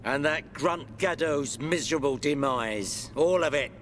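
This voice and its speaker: An actor playing a brash, contemptuous traitor villain in a video game, with a taunting manner. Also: male voice, on the high side, a little slow, fairly bright tone, a little hiss or hum. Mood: sneering contempt. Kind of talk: video game cutscene acting.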